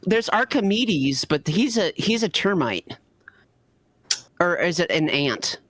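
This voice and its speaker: A man's voice: high-pitched, lively intonation, slow, fairly bright tone, dry recording. A man bantering casually with a group as a panelist. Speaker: fan fiction show panelist.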